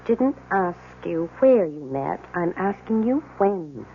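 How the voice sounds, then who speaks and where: Stern, scolding older aunt for radio play; disapproving and blunt, narrow phone-like old broadcast sound. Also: female voice, fairly low pitch, big pitch swings, takes it slowly, a little hiss or hum, heavily acted. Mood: stern, interrogating. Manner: curt, scolding. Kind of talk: old-time radio drama acting.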